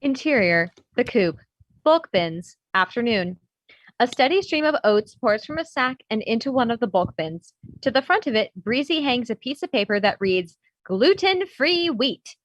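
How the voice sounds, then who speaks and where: A woman's voice: fairly high pitch, sing-song, moderate pace, no room sound. A woman narrating the stage directions of a script at a table read. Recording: dull, slightly lo-fi audio.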